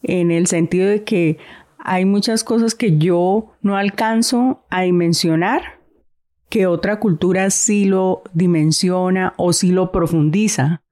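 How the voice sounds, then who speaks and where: Colombian woman, soft Santander accent, lowish voice, measured and reflective. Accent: Santander Colombian Spanish, softened. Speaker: guest. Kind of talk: casual conversation.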